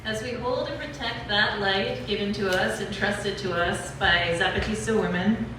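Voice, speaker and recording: A woman delivering scripted narration as presenter, introducing readings at an activist event. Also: medium pitch. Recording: echoey hall from far off, clear noise.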